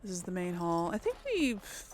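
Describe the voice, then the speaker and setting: A woman's voice: fairly low pitch, huge pitch swings, normal speed, a little hiss or hum. A woman hosting a casual let's play, thinking aloud and often trailing off into mutters. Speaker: gaming video host.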